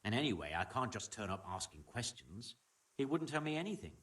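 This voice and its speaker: A man's voice: mid-range voice, very animated, fairly quick, fairly bright tone, recorded from a distance. An actor playing a wary, grumbling middle-aged medieval tradesman in a video game, speaking in a guarded way. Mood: uneasy, suspicious. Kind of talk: video game dialogue acting.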